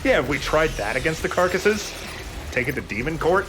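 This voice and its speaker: A man's voice: on the high side, very animated, a little fast. Joking male gamer, faint noise behind. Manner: joking, bantering. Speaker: let's play host. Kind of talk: casual gaming commentary.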